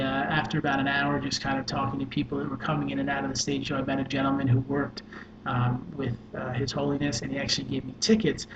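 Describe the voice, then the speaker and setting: A soft-spoken man, a social worker and life coach, earnestly telling a personal story with many fillers. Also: mid-range voice. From New York. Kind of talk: personal story told to camera. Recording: echoey room with dull sound and noise.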